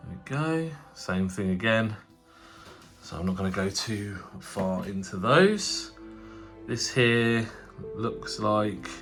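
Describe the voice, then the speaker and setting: A man's voice: on the low side, fairly bright tone. Stuffy-nosed man chatting casually. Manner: chatty, rambling, frequent fillers and restarts. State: nasal from a cold. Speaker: tabletop wargaming channel host. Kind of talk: casual unboxing commentary.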